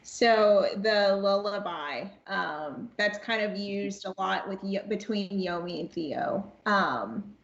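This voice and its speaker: A woman with a medium-pitched voice talks reflectively as an interview guest. She is deliberate and emphatic, restating phrases for weight. The sound is roomy and slightly muffled. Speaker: audio drama cast member, podcast guest.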